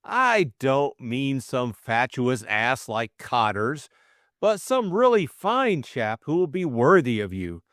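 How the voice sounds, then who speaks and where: A man's voice: medium pitch, big pitch swings, slow pace, fairly bright tone, no room sound, clean studio sound, pitched above the performer's own voice. A man voicing a flustered, lovestruck young Englishman, stammering and eager. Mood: earnest pleading.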